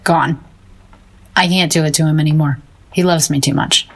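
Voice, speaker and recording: An actress playing a self-doubting, guilt-ridden woman painter in an audio drama, speaking tersely in a husky voice. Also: sits low, fairly even intonation, normal speed. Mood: despairing.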